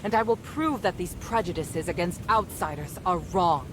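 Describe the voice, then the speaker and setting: Woman playing a resolute low-voiced noblewoman leader. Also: normal speed, background noise, lightly acted. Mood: determined. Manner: composed, formal, firm. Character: resolute young noblewoman leader, loyal and proud. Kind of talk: dramatic game cutscene acting.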